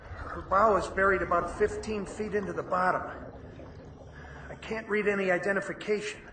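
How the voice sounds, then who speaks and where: Guy doing navy diver, highish voice, clipped dutiful reports, echoey noisy radio play. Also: steady tone, normal speed, close to an everyday voice. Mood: alert, dutiful. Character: dutiful, uneasy young male navy diver. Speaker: actor in a radio drama. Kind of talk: radio drama acting. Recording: distant, echoey, noisy diving radio link.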